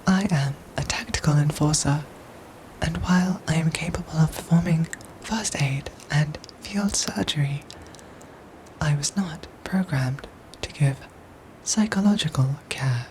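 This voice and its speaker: Woman as android soldier for ASMR drama: low, soft and whispery, formal, measured, gently protective, over noisy ambience. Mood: formal, matter-of-fact. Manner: measured, formal, robotic phrasing with careful pauses. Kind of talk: ASMR audio roleplay acting.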